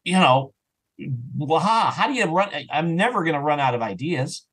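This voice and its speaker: A man talking as a podcast guest in a casual, enthusiastic and animated way, slipping into imagined remarks as an audio drama creator. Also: a little high, normal speed, breathy edge. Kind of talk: casual enthusiastic interview chat.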